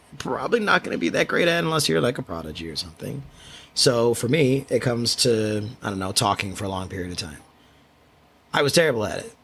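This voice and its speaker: A man with a quiet voice, hosting a solo podcast and talking in a reflective, rambling way with frequent restarts. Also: fairly low pitch, gravelly, a little hiss or hum. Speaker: podcast host. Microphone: studio microphone.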